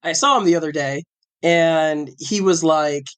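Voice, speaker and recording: Man in his forties, podcast host, a bit sick, fairly high voice, rambling casual chat. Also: measured pace, a bit bright, clear, resonant tone. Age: 40s. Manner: rambling, self-correcting, chatty. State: slightly sick, with a cough.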